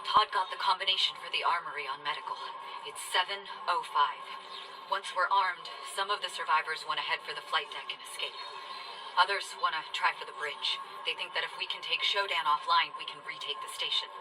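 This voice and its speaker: Low-voiced, resolute woman leader played by an actress. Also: distant mic, background noise, close to an everyday voice. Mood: determined. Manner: measured, businesslike reporting. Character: resolute female manager leading space station survivors. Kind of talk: dramatic video game audio log.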